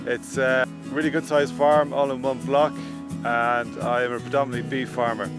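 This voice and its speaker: Irish farmer talking plainly outdoors. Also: male voice, mid-range voice, over music. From Ireland. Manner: relaxed, plain-spoken, with frequent "uh" pauses. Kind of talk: documentary talk to camera. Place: outdoors on a farm.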